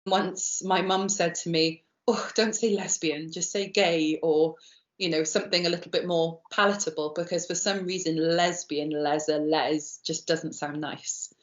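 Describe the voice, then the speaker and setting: A woman's voice: deep voice, fairly even intonation, unhurried. A woman in her thirties talking reflectively in a personal interview. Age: 30s. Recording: muffled lo-fi, roomy echo.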